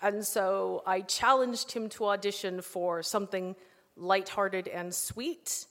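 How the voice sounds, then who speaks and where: A woman with a mid-pitched voice recounts an anecdote at a live Q&A. Long hall reverb surrounds her words. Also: fairly even intonation, slow. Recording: echoey hall, live recording.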